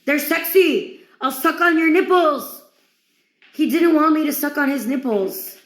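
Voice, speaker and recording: A woman's voice: sits high, a little slow, a bit bright, roomy sound, poor audio. A woman delivering a dramatic, mocking monologue to one listener in a crude, theatrical, taunting way. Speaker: performer of villain monologues.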